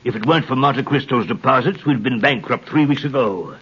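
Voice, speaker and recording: An actor playing a desperate, scheming banker in a 1930s radio drama, urgent and blustering. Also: male voice, medium pitch, brisk, close to an everyday voice. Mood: desperate, threatening. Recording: muffled, noisy 1930s radio broadcast.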